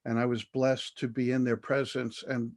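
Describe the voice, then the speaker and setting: An older man telling his life story as an interview guest, measured and thoughtful, with frequent fillers and restarts. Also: mid-range voice, level tone.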